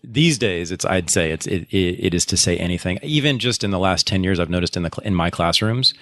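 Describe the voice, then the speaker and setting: A man's voice: deep voice, lively intonation, brisk, fairly bright tone, no echo, studio quality. A man talking at length in a live podcast discussion, circling back, correcting himself and laughing now and then. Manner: rambling, self-correcting, laughs often. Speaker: podcast guest, teacher.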